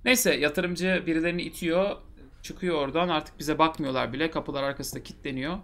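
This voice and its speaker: A man's voice: a little high, a little fast, a bit bright. Man reading a game aloud on stream. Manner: joking asides between narration. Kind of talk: reading a visual novel aloud with commentary. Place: home streaming room. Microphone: headset.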